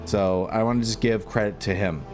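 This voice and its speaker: Low-voiced man narrating a casual tutorial. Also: steady tone, a little fast, over music. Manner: informal, thinking aloud as he works. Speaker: video tutorial host and game modder.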